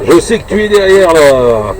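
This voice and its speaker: A man's voice: fairly high pitch, sing-song, moderate pace, a little mellow. A man chatting casually on CB radio in short, hesitant bursts. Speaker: CB radio operator. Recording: noisy in-car recording, road noise.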